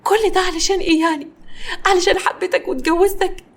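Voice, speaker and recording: A woman voicing a scheming, melodramatic young wife who is theatrical, whiny and quick-tempered in an audio story. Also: high voice, animated, darkish tone. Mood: whiny, wheedling. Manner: theatrical shrieking and fake crying.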